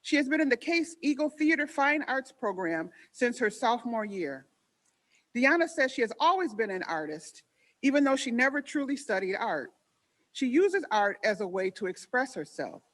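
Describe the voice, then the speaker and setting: A woman hosting a commemorative program reads out speaker introductions in a formal, measured way. Her voice sits a little above the usual for a woman. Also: a little high, big pitch swings. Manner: formal, measured reading from a script. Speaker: event emcee.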